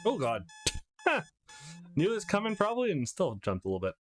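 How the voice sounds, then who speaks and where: A man's voice: high-pitched, wildly swooping pitch, normal speed, soft background music. A man hosting a let's play, chatting casually and upbeat and reading on-screen text aloud. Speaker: let's player host.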